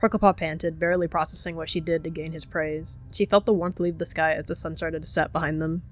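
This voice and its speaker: Casting: roleplay narrator with a medium-pitched voice, dramatic third-person storytelling. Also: level tone, a little fast, a little hiss or hum.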